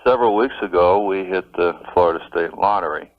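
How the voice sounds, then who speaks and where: Male phone-in caller, lowish voice, easygoing and wry, dry lo-fi radio tape. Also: brisk, dry recording. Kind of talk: casual phone-in conversation.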